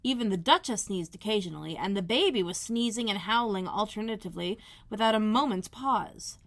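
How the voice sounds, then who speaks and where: A woman narrates a children's storybook. Her pitch sits in the middle for a female voice, and she reads expressively, as a school librarian does for young listeners. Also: expressive, a little fast, a bit bright. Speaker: elementary school librarian reading aloud.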